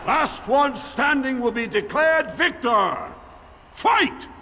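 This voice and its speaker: High-pitched ceremonial dwarf arena announcer. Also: male voice, lively intonation, slow, bright, forceful tone, slight background noise, full character voice. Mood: grand, rousing. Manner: declamatory, formal, ceremonial. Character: booming male dwarf arena master, grandly ceremonial. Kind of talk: theatrical fight announcing in a fantasy game. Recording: long hall reverb, music behind.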